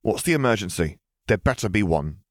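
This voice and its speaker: A man voicing a young witch in an audiobook, sharp-tongued and fearful, with urgent, emphatic delivery. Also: lowish voice, expressive, a little fast, no echo, studio quality, pitched above the performer's own voice. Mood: sharp, suspicious.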